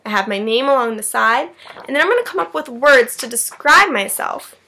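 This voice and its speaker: A woman with a bright, somewhat high voice reads to children as their teacher. She moves between expressive storytelling and encouraging explanations, often checking that her listeners follow. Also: normal speed, gravelly. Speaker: teacher reading aloud to her class. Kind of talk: expressive children's read-aloud with asides.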